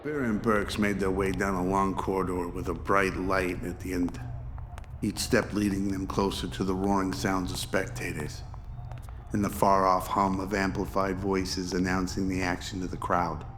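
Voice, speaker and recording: A man's voice: sits low, level tone, moderate pace, background noise. A man narrating a scripted audio drama.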